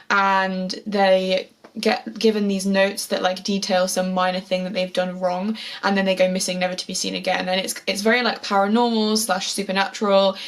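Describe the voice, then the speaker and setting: A young woman with a slightly hoarse, sore voice, chatting casually about books to camera as a vlogger, enthusiastic and repeating words for emphasis. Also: medium pitch. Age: young adult. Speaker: book vlogger. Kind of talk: casual book chat to camera.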